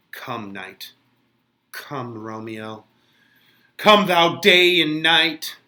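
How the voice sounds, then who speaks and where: A man's voice: fairly high pitch, bright, forceful tone, pitched above the performer's own voice. A man playing an eager, lovestruck young Juliet, reciting Shakespearean verse with dramatic feeling.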